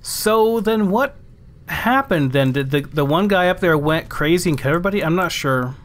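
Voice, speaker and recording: Guy doing a lets play, kinda higher voice, just musing out loud casually. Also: animated, normal speed. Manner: thinking aloud, musing. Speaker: let's play gamer. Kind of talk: casual game commentary.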